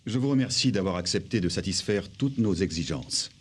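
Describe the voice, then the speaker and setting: A man voicing a courteous, tender middle-aged father in an animated drama, formal and gentle. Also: low-pitched, a little fast, slightly rough. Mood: courteous, grave. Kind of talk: French-dubbed animated drama acting.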